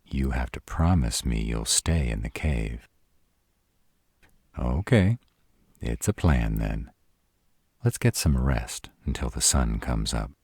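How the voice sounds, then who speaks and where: A man's voice: a bass voice, animated, creaky, darkish tone, breathy edge, no echo, clean studio sound, heavily acted. A man playing a protective, devoted but brash were-dragon lover in a roleplay audio drama, speaking huskily and bluntly, with tenderness for a partner. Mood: affectionate, protective. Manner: slow, crude-tongued, tender with partner.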